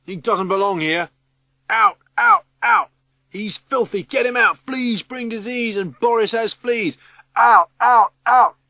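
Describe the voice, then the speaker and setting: A man voicing a vicious, jeering schoolboy ringleader who shouts and chants taunts in an audiobook. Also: extremely high pitch, big pitch swings, takes it slowly, gravelly, no room sound, clean studio sound, pitched above the performer's own voice, full character voice. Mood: angry, jeering. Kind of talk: audiobook character acting.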